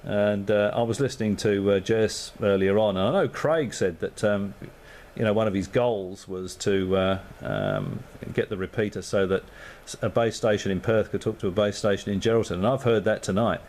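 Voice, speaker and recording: A man's voice: fairly low pitch, expressive, normal speed, a little mellow, slight background noise. A man on amateur radio, chatting casually and thinking aloud with lots of fillers. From Australia.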